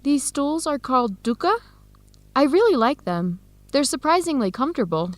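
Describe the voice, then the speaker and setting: An eager young woman in an educational radio drama, a considerate student with a fairly high voice. She speaks in a friendly, earnest way, in a dry, close studio sound. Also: very animated, moderate pace, dry recording. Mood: upbeat, interested.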